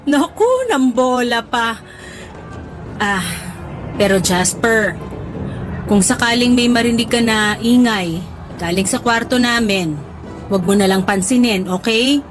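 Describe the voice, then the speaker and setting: A woman acting a doting middle-aged mother in a radio drama, teasing and gently scolding her grown son. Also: on the high side, expressive, takes it slowly, long pauses, soft background music. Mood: fond exasperation.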